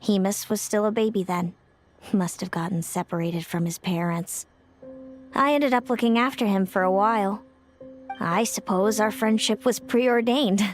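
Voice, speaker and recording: A woman's voice: medium pitch, normal speed, close to an everyday voice. Actress as a self-assured, fatalistic woman seer over music. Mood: calm, assured. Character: fatalistic woman seer, confident and resigned competitor. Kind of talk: scripted video game voice acting. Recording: music behind the voice.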